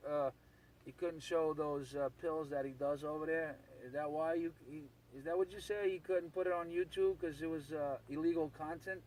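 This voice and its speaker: A man's voice: a little high, steady tone, moderate pace, darkish tone. A man transmitting as a CB radio operator, bantering casually in slang, teasing and repeating his phrases. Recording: distant CB radio transmission from vehicle.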